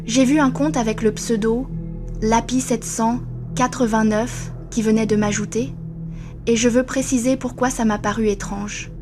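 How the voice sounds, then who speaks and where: A woman narrates a first-person horror story in a steady, scripted manner. Her voice sits a little high and stays strikingly flat, barely rising or falling. Faint music plays beneath her. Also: normal speed.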